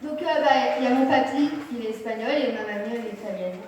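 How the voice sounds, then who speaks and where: A young woman introduces herself in a casual, hesitant way. Her voice is fairly high. It comes from a distance with strong echo and faint noise. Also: fast, darkish tone. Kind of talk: informal self-introduction. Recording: echoey hall, distant mic, faint noise.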